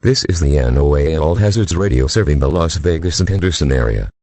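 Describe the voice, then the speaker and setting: A synthetic-sounding man's voice as a weather radio announcer, reading official bulletins in a measured, impersonal way. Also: very deep voice, animated, a little mellow, no room sound. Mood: calm, official.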